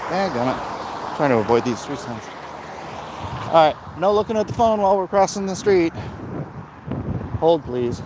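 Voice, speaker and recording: A man's voice: fairly high pitch, expressive, moderate pace, long pauses. A man talking casually and ramblingly with jokey asides, as a vlog host out on a walk. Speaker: vlog host, comic book creator. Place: outdoors on a street walk. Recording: outdoor street noise, passing vehicles.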